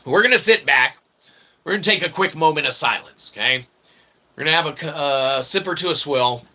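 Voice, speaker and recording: A man hosting a philosophy show with a rambling monologue, wordy and mock-formal, in a gravelly voice with pompous archaic phrasing. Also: a little high, lively intonation, brisk, pushed and bright. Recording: clearly noisy recording.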